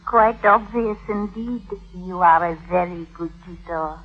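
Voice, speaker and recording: A woman's voice: on the low side, a little slow. An actress playing a young, childlike, yearning schoolgirl wife with earnest simplicity, in 1950s radio drama style. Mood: demure. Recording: tinny band-limited 1950s radio broadcast.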